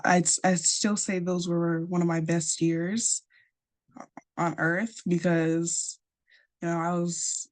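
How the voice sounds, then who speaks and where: A teenage girl from Nigeria, a high school senior, telling her story casually on an online panel over a video call. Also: darkish tone. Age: teens. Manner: casual, chatty, with fillers.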